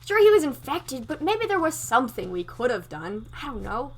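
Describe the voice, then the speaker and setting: A woman playing a compassionate, halting survivor in a zombie apocalypse game roleplay. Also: high-pitched, sing-song. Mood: upset, reproachful. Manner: hesitant, pleading, halting. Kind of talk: amateur roleplay acting in a game.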